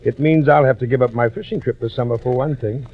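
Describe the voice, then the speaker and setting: An actor playing a brisk, patronizing older businessman in 1940s radio drama, authoritative and given to repeating his words. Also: medium pitch, husky, close to an everyday voice. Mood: blustery concern. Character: brisk, fussy, patronizing male boss, wealthy and older. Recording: slightly muffled vintage radio recording.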